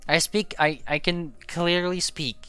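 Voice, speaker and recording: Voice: medium pitch, steady tone, measured pace, fairly bright tone, clear, resonant tone, soft background music. A young adult from Belgium, a native Dutch speaker, chatting casually in a podcast and rambling with frequent restarts and swearing.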